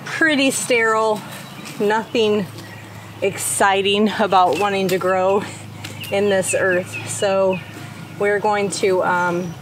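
A middle-aged woman talking casually as she plants in an outdoor garden. Her pitch sits in the middle, and steady outdoor noise runs behind her through the camera mic. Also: takes it slowly. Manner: chatty, talks while working. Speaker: homestead vlogger.

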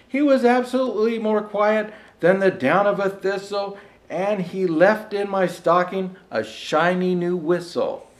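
An older man playing a jolly old Santa Claus, gently reading a rhyming storybook aloud with chatty asides. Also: high voice. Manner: gentle storyteller, showing pictures to listeners. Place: indoor room set with fireplace and tree. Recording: echoey room.

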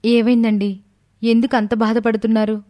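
A woman voicing a devoted, sensible young wife in an animated story, earnest and reassuring. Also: fairly high pitch, normal speed, lightly acted. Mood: apologetic, concerned.

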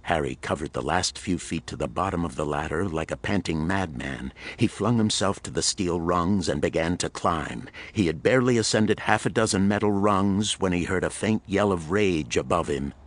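A man's voice: low voice, normal speed, few pauses. A man narrating a pulp thriller audiobook in a dramatic, suspenseful storytelling manner.

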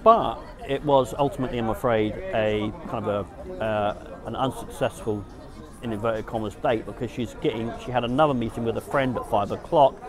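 Middle-aged male vlogger, medium pitch, candid storyteller who rambles and self-corrects, recorded outside with busy city noise. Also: brisk, crowd noise. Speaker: dating vlogger. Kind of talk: casual storytelling to camera. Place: outdoors beside a garden hedge in town.

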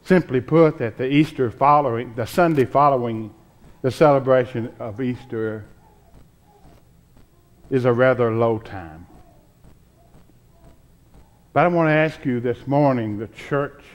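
A man in his sixties preaching a sermon as a pastor, with deliberate, measured phrasing and dramatic pauses. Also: mid-range voice, muffled recording. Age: 60s. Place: church sanctuary, at the pulpit. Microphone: pulpit microphone.

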